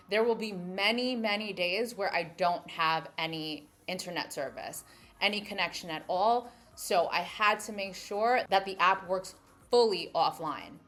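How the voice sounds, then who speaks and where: American female content creator, slightly low voice, casual tutorial delivery, explanatory with frequent check-ins. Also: fairly bright tone. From United States. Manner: explanatory, often checking in with "right?". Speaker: travel content creator and host. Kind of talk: casual screen-share app walkthrough.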